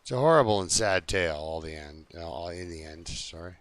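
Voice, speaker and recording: A man's voice: low voice, expressive, fairly quick, no room sound. A man live streaming a video game, chatting casually and jokingly to his viewers in an easygoing, rambling way.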